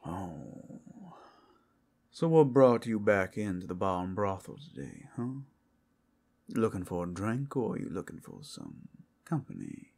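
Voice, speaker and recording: A man's voice: low-pitched, sing-song, a little slow, vocal fry, a little mellow, exaggerated voice. A man voicing a suave, predatory male werewolf in an ASMR roleplay, speaking in a flirtatious, teasing drawl. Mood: sly, welcoming.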